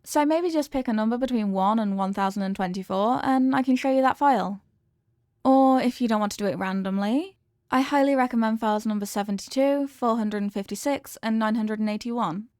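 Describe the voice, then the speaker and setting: A high-voiced, adorkable yandere girlfriend, played by a woman in a comedic ASMR-style roleplay. She is sweet and doting but possessive, rambling earnestly straight to the listener in a close, dry recording. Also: a little mellow, dry recording, natural voice. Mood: adoring.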